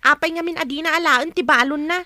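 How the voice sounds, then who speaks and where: A woman's voice: high-pitched, fast, pushed and bright, no room sound, studio quality. A woman playing an indignant, grudge-holding young elder sister, complaining sharply with an Ilocano accent in a radio drama. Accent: Ilocano, northern Philippines.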